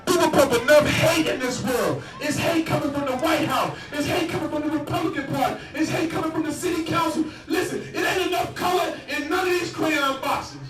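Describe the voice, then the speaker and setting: A man running for office, giving a fiery shouted protest speech at a rally with a repetitive, preacher-like cadence. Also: very high voice. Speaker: political candidate at a rally.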